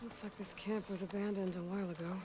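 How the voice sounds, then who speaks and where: An actress playing a focused, matter-of-fact young adventurer in a video game, muttering observations to herself. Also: female voice, medium pitch, fairly even intonation, fast talker, background noise, close to an everyday voice. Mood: focused, musing. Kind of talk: video game character voice acting. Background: game music.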